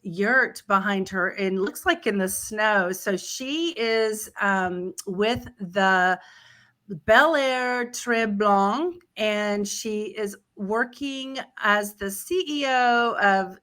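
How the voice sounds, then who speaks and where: A woman's voice: medium pitch, extremely slow, a bit bright. A woman hosting a travel podcast, talking with a guest in a casual interview over a video call, upbeat and chatty. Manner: upbeat, chatty, laughs easily. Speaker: podcast host.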